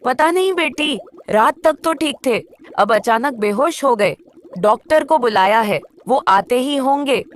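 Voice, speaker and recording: A gentle mermaid mother in a cartoon fairy tale, acted by a woman. Her voice is fairly high and soothing, with faint watery ambience behind it. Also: lively intonation, a little slow, slight background noise. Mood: worried. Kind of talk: Hindi cartoon moral story acting.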